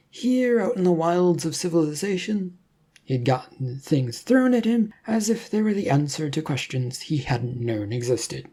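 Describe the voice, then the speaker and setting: A narrator reads an adventure story aloud. The voice sits at a middling pitch, dark and soft-edged, with a husky grain running through it. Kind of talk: scripted fiction narration, reading a serial adventure story.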